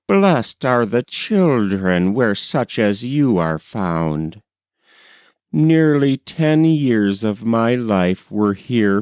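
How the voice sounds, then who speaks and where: Guy reading a classic novel out loud, really slow and deliberate, lowish voice, dry close sound. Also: dry recording. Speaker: audiobook reader. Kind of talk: scripted literary narration of a 19th-century novel.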